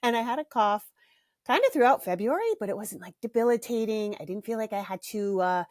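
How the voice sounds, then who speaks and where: A woman's voice: mid-range voice, fairly quick, no room sound, clean studio sound. A middle-aged woman hosting her own podcast in a candid, chatty way, laughing easily and with a slight cough. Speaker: podcast host and parenting author. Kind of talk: casual solo podcast chat.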